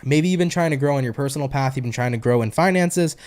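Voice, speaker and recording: A man's voice: mid-range voice, quick pace, a little mellow. A man from New York with a New York accent, giving a casual tarot reading in a chatty, slangy way and swearing casually. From New York, USA. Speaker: tarot reader, online host.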